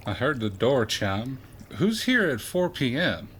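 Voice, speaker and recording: A man's voice: mid-range voice, a bit bright, slight background noise, heavily acted. A man playing a wary, protective small-town diner cook in an audio drama, suspicious and terse.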